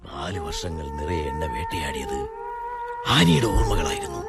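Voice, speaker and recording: An actor playing a bitter, drunken planter who taunts and broods theatrically in a radio drama. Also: male voice, rumbling low, sing-song, normal speed, vocal fry, over loud music, heavily acted. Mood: bitter, brooding. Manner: theatrical, brooding, swinging from murmur to outburst.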